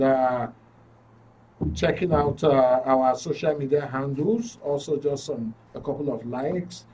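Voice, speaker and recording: A man with a Nigerian accent hosting a live radio talk show. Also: medium pitch. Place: radio and TV studio. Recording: distant mic, background noise.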